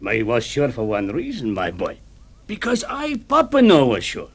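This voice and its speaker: A man's voice: mid-range voice, huge pitch swings, moderate pace, slightly rough. An actor playing a cheerful, shrewd art dealer lecturing his son indulgently, in a theatrical old radio drama style. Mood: scolding, amused. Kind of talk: 1950s radio drama acting.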